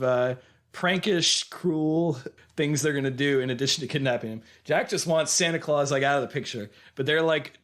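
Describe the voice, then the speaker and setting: A man's voice: medium pitch, a bit bright. Man with a cold, chatting casually. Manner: rambling, self-correcting, laughs often. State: has a cold, losing his voice. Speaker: podcast co-host. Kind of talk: casual chat.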